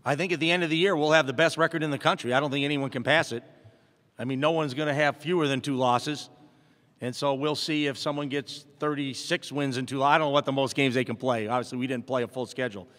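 A man's voice: medium pitch, fairly even intonation, quick pace, fairly bright tone. A middle-aged male coach speaks into a table mic at a postgame press conference. His talk is plainspoken and upbeat, full of "uh" and restarts. The room adds a long, ringing reverb. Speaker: basketball head coach. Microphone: table mic in front.

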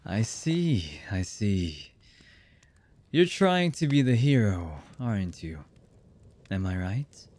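A male voice actor playing a cold, menacing, commanding young anime villain in an ASMR roleplay, with a husky voice and a deliberate delivery. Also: lowish voice, very animated, a little mellow, exaggerated voice. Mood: mocking menace. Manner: slow, menacing, deliberate with long pauses.

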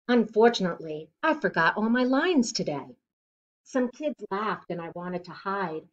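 Woman, middle-aged, lowish voice, expressive teacher-style read-aloud of children's verse, muffled home recording. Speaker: teacher reading a picture book aloud. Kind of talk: read-aloud storytelling of rhyming children's book. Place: living room at home, indoors. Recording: dull lo-fi webcam sound.

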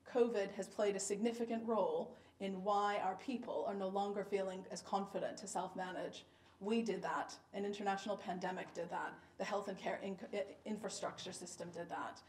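A woman's voice: medium pitch, fairly even intonation, brisk, darkish tone. A woman from the United States with a Boston accent, giving an emphatic, data-driven keynote talk at a conference. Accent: Boston American. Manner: emphatic, data-driven, punchy repeated "fact" refrains. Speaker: keynote speaker, health service director. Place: conference lecture room. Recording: echoey room recorded from a distance.

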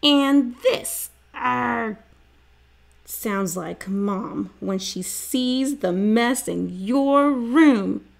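Woman playing an exasperated, higher-pitched big sister. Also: very slow, gravelly, full character voice. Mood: sarcastic. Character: exasperated, bossy older sister, a young girl. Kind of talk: children's storybook character reading.

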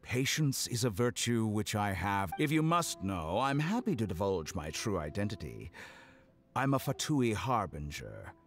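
An actor playing a suave, scheming male villain in a game cutscene, smooth and condescending, with music underneath. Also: on the low side, big pitch swings, measured pace. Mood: mocking. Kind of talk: video game voice acting.

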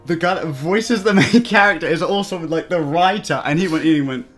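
A man's voice: fairly high pitch, brisk. Sweary man chatting, music behind. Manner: sweary, opinionated. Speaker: let's play co-host. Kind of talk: casual gaming commentary chat.

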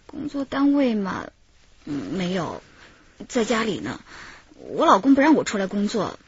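A woman's voice: medium pitch, very animated, normal speed, husky, heavily acted. An actress playing a chatty, self-pitying housewife in her thirties in a radio drama, rambling and gossipy with dramatic sighs. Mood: plaintive, chatty. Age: 30s. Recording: muffled, roomy radio drama recording.

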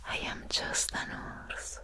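A young woman playing a soothing, mysterious female vampire nurse in an ASMR roleplay, in a breathy whisper close to the microphone. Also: lightly acted. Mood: hushed, mysterious. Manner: slow, soothing, drawn-out phrasing. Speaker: ASMR performer. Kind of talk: ASMR roleplay, personal attention.

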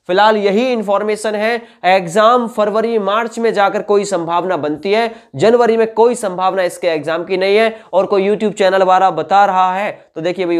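A man, lecturing informally and emphatically as an exam coach, repeating points and checking that listeners follow. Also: high voice. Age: 20s. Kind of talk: informal explanatory lecture to camera. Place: indoor room with whiteboard.

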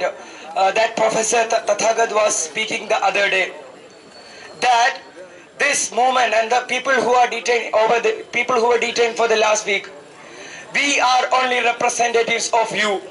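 A man in his twenties with an Indian accent, a student activist making an impassioned political speech, haltingly and repeating phrases for emphasis into a handheld microphone. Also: extremely high pitch, sharp tone, rough recording. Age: 20s. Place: outdoors at night, public gathering. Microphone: handheld microphone held close to the mouth.

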